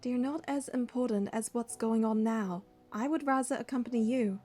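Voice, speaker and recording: An actress playing a caring, curious young heroine who narrates and speaks in a romance game, measured and earnest. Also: female voice, on the high side, dark tone, soft background music, lightly acted. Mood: concerned. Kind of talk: first-person narration and dialogue in a romance game.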